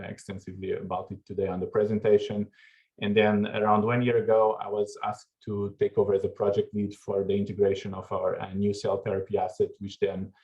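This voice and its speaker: A man from Portugal giving a keynote talk at an online conference, measured and full of fillers and restarts. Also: lowish voice, darkish tone. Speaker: keynote speaker. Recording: dull lo-fi video call audio.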